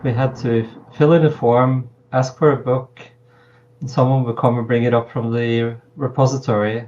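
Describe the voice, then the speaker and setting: A middle-aged man from Norway, a political science professor, answering interview questions in a measured, hesitant way with many pauses. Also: medium pitch, level tone, a little mellow, dull audio. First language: Norwegian. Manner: measured, hesitant with frequent ums.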